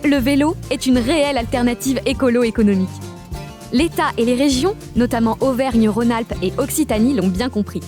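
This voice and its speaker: Bright upbeat lady explaining stuff in a cartoon, high voice, faint music under. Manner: upbeat, informative, lists facts briskly. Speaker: regional nature park staff. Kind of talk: scripted explainer narration.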